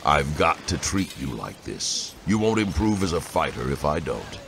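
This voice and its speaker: Gravelly, low-voiced veteran soldier father. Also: big pitch swings, normal speed, noisy background, heavily acted. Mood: gruff affection. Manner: commanding, blunt, coaching. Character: gruff older soldier father, stern but loving husband. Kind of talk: audiobook drama acting.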